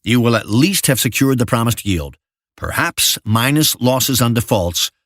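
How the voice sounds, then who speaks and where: An older man reading a financial memo as scripted narration in a measured, formal manner. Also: mid-range voice, expressive, a bit bright, no echo, studio quality.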